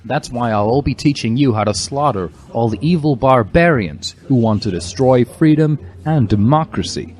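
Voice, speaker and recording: A man's voice: medium pitch, expressive, moderate pace, hardly any pauses, darkish tone, lightly acted. A man voicing a smug, condescending older corporate professor, lecturing in a patronizing, theatrical way for a comic story reading. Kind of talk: mock-dramatic fan fiction reading. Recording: music behind the voice.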